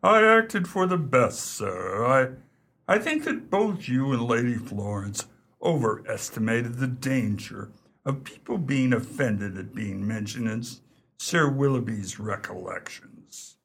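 A man's voice: mid-range voice, animated, takes it slowly, creaky, a bit bright, slight background noise, heavily acted. A man acting in a radio play as an unflappable, deferential English valet who speaks formally and with discreet opinions. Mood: formal, unruffled.

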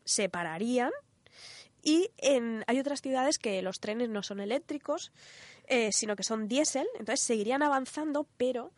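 A woman's voice: a little high, moderate pace, darkish tone, dry recording. Chatty Spanish woman explaining, close and dry. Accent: Spanish from Spain. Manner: informal, conversational, explaining step by step. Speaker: radio programme co-host presenting a segment. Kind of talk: casual explanatory chat.

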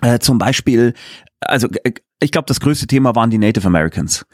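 A man's voice: mid-range voice, lively intonation, brisk, no room sound. Enthusiastic man chatting in a dry recording. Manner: enthusiastic, chatty, detailed explaining. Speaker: guest, audio drama director. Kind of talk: casual conversational interview.

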